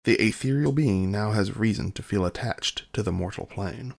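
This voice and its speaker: Gravelly male scene announcer. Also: fairly low pitch, moderate pace. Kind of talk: scripted narration.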